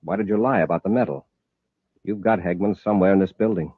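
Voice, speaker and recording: An actor playing a steady, authoritative space patrol commander in a 1950s radio serial. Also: male voice, deep voice, quick pace, husky, no echo. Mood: accusing. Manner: firm, authoritative. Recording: dull old radio broadcast recording.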